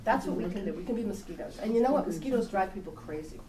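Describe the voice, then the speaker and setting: A woman's voice: medium pitch, lively intonation, racing, a loud crowd. A woman giving a public talk and answering questions, wry and conversational. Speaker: invited speaker. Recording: echoey room, distant mic, noisy.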